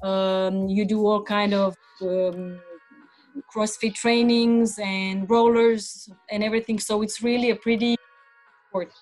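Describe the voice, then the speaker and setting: A woman's voice: mid-range voice, takes it slowly, faint music behind, low-quality recording. Croatian-born woman, chatty interview guest. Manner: relaxed, many fillers and restarts. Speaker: podcast guest, athlete. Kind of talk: casual interview chat.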